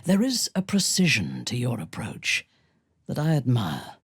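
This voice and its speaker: A woman playing a cold, ruthless female dark elf warrior in a video game, speaking in a husky voice with slow, haughty deliberation. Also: very deep voice, huge pitch swings, no echo, studio quality, heavily acted. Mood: cool, haughty.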